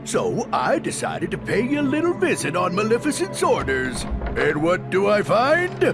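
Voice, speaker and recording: A high, gravelly cartoon brute, swinging wildly in pitch. He brags and gloats in crude, folksy slang like a bumbling henchman in a game, with loud music behind him. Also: wildly swooping pitch, moderate pace, full character voice. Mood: smug bragging. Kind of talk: animated game cutscene acting.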